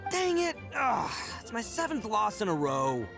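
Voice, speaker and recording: An actor voicing a brash, boisterous young man who is swaggering and loud about beetle fights, in a video game. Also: high-pitched, measured pace, vocal fry, over music, full character voice. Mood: excited. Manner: loud, swaggering, big swings of excitement.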